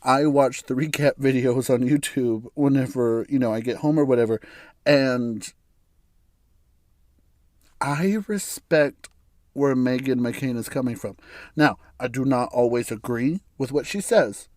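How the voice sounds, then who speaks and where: A man from the United States hosting a solo podcast, his voice raspy and hoarse from bronchitis, rambling through his opinions with chuckles and frequent restarts. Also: medium pitch, measured pace, darkish tone, no echo, clean studio sound. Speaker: podcast host. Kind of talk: casual solo podcast monologue.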